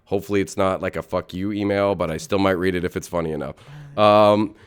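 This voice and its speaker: Laid-back man with a very deep, smooth voice. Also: steady tone, fast talker. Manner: laid-back, rambling, informal. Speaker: podcast host. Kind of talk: casual chat, joking.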